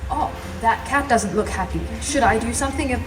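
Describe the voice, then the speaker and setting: A woman's voice: fairly high pitch, fairly quick. A young woman telling her personal story as a guest speaker, passionate and chatty, laughing often. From South Africa. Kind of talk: personal story at a live event. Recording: roomy, from far off, music behind.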